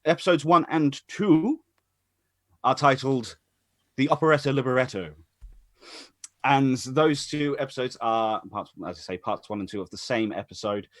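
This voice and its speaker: A man's voice: medium pitch, normal speed, no room sound. A man hosting a casual live stream as announcer, chatty and rambling as he introduces things. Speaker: host and announcer. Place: online group call.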